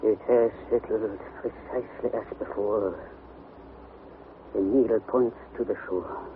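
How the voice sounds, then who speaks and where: An actor playing an excitable, obsessive older German professor in a theatrical 1960s radio drama style. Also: male voice, fairly low pitch, measured pace, lightly acted. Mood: bitter despair. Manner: theatrical, declamatory, exclaiming. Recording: tinny, noisy vintage radio recording.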